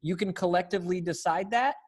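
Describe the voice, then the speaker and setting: A man's voice: high-pitched, brisk, slightly rough. An American man in his thirties casually directing readers on a video call. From United States, Springfield, Missouri. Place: indoor room, on a video call.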